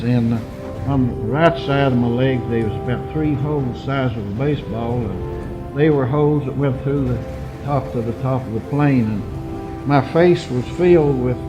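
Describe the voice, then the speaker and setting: A man's voice: medium pitch, muffled recording. A man over eighty, a war veteran recounting his personal memories. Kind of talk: personal recollection. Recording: music bed under the voice. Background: music.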